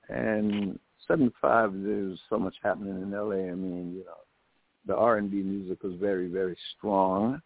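A man in his seventies from Jamaica speaks as an interview guest, recounting stories in conversation. His voice is low and gravelly. It comes through a thin, phone-like line. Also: dry recording. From Jamaica, later the United States. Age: 70s. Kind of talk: anecdotal radio interview. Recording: phone-like, thin radio call.

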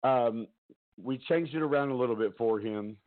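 A man hosting a casual talk stream from home, loud-voiced, rambling and chatty, often laughing, talking into a headset. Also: medium pitch, normal speed, narrow, tinny audio. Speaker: talk show host.

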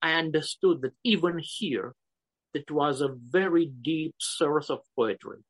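A man in his fifties with a Romanian accent, answering interview questions slowly and haltingly, often pausing to search for words. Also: on the high side, husky, no room sound, rough recording. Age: 50s. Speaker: poet guest.

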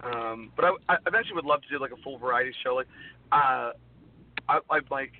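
A man in his thirties, a guest performer, chatting in a rambling way with a voice worn low from screaming. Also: fast talker. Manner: rambling, heavy use of "like". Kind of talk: casual interview chat. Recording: tinny phone-like line, faint noise.

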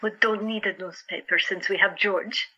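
Muffled, breathy actress as a woman patient. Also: mid-range voice, steady tone, normal speed, pushed and bright. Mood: teasing, embarrassed. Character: gifted woman artist in a 1917 infirmary, troubled yet warm. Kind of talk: audio drama acting. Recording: dull, band-limited sound.

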